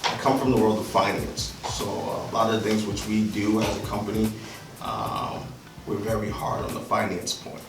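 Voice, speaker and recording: A man's voice: lowish voice, lively intonation, normal speed, gravelly, over music. A man from Liberia in his thirties presenting an informal real estate workshop, talking conversationally with lots of "right?" and "um". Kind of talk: informal seminar talk. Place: room in a house, with a seated audience. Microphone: far camera. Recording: echoey room, picked up from a distance.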